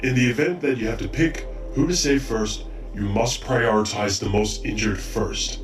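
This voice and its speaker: A man's voice: fairly low pitch, normal speed, slight background noise, close to an everyday voice. A man voicing a haughty, commanding robot chief medic who drills recruits in an amateur audio drama. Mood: brisk, instructive. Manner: stern, commanding, exacting. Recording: roomy, slightly distant mic, faint music.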